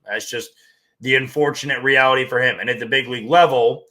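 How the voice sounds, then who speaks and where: A hoarse man with a strained voice hosts a sports podcast and talks plainly through his opinions. His tone is bright and forward at a middling pitch. He keeps pushing on even though his voice is nearly gone. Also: a little fast. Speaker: podcast host.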